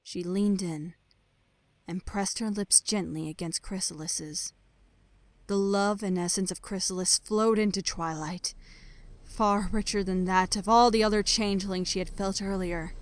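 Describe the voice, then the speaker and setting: A woman reads a dramatic scripted story as narrator, letting phrases fall into a hushed breath. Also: mid-range voice, fairly even intonation, a little slow, dry recording. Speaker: narrator of a fan fiction audio production. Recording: background music under voice. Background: music.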